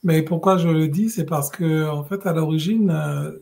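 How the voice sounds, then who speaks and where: Mellow-voiced middle-aged man from West Africa. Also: on the high side, steady tone, creaky. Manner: measured, thoughtful storytelling with frequent hesitations and restarts. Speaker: guest, regional director. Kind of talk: conversational podcast interview.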